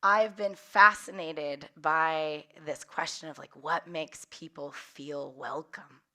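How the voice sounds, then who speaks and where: Hoarse woman, voice kinda cracky, lowish but bright, laughs a lot while telling her story. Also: steady tone, slow pace. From Central Valley of California. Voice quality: slightly cracky voice. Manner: warm, open storytelling with frequent laughs. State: hoarse, recovering from losing her voice. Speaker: workshop leader. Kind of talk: personal storytelling talk.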